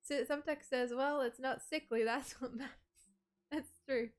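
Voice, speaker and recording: A woman's voice: sits high, fairly even intonation, moderate pace. A woman chatting casually on a livestream, rambling with lots of "like" and "um" and laughing often as she reads out viewer messages.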